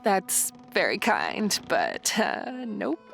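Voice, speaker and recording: A woman's voice: mid-range voice, huge pitch swings, drawn-out words, creaky, a bit bright, soft background music, exaggerated voice. A woman playing a stubborn, weary, sardonic adventuress in a radio drama, muttering with dry sarcasm. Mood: dry, dismissive.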